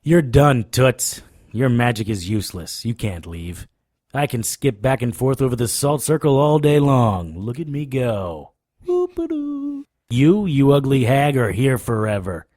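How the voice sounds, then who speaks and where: A man's voice: medium pitch, very animated, a little slow, darkish tone. A man playing a cocky, wisecracking supernatural investigator in an audio drama, hard-boiled in manner. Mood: taunting defiance. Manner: flippant, defiant, hard-boiled. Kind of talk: audio drama acting with first-person narration.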